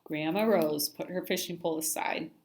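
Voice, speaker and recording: A woman's voice: low-pitched, normal speed, background noise. A woman narrating a children's story aloud expressively, like a teacher reading to a class.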